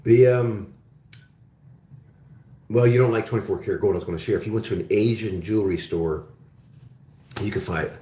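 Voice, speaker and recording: A low-voiced man hosts a casual live question-and-answer stream, chatting loosely and reading viewers' questions aloud. His voice carries a noticeable echo from the room around him. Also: moderate pace, pauses often, warm tone. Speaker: livestream host.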